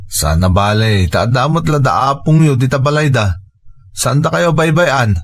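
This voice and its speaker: A man's voice: mid-range voice, animated, a little slow. A man playing an irritable, irresponsible husband and father in an audio drama, grumbling and dismissive. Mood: placating, hurried. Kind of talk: Ilocano audio drama acting.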